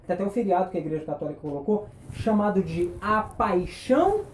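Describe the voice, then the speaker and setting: A man preaching a sermon, impassioned, firing rhetorical questions and dropping between loud and hushed. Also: high-pitched, lively intonation, brisk, echoey room, slight background noise. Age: 20s.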